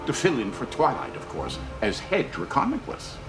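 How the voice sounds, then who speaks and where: A man's voice: mid-range voice, huge pitch swings, normal speed, over music. An actor voicing a mischievous male chaos spirit in a cartoon, sly and mock-innocent with a sing-song delivery. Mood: smug, teasing. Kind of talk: cartoon voice acting.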